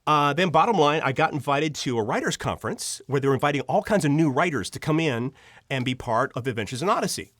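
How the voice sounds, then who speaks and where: Middle aged american guy telling stories on a podcast, really animated up and down voice, acts out dialogue, dry sound. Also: on the high side, fast talker, dry recording. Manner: acts out remembered dialogue, conversational. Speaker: podcast guest, audio drama writer. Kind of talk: animated personal storytelling in an interview.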